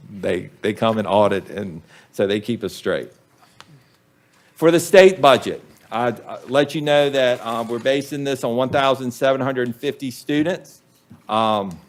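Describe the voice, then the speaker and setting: A man, a school superintendent, presenting budget figures to a county board in a plain, measured way with frequent ums. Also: mid-range voice. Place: public meeting room.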